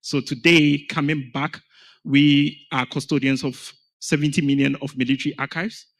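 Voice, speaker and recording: A man's voice: a little high, flat delivery, normal speed, creaky. A man from Ghana speaking as a panel guest, telling his story in an earnest, emphatic way. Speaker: panel guest, founder of an education organization. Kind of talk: panel conversation.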